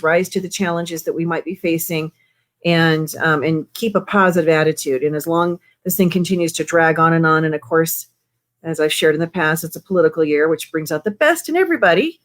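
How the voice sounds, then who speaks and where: Chatty, encouraging woman host. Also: on the low side. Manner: chatty and encouraging, many fillers. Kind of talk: live show announcements.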